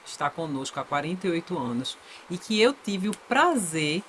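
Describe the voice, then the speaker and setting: A woman co-hosts a podcast and introduces her guest in a measured, admiring way. Her voice sits on the low side. Faint background noise runs under her. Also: very animated. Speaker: podcast co-host. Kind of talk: podcast interview.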